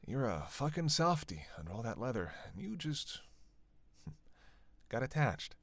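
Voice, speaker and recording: A man's voice: mid-range voice, very animated, fairly bright tone, no echo, exaggerated voice. A man playing a witty, bloodthirsty vampire in a teasing roleplay monologue, sardonic and conversational. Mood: grudgingly sincere. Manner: sardonic, playful, conversational with sighs and pauses. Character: teasing, witty, bloodthirsty male vampire, centuries-worn cynic. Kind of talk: flirtatious dark roleplay monologue to one listener.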